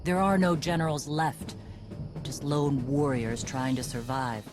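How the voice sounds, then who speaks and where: A man's voice: on the high side, steady tone, unhurried, over music, natural voice. A male actor playing a proud, battle-hardened warrior chieftain in a video game, blunt and commanding. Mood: defiant.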